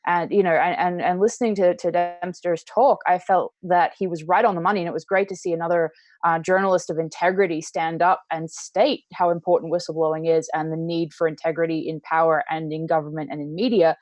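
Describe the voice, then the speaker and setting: A woman's voice: fairly low pitch, fairly even intonation, brisk, a little mellow, no echo. A woman from the United States giving conversational political commentary, searching for her phrasing as she goes. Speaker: panel co-host.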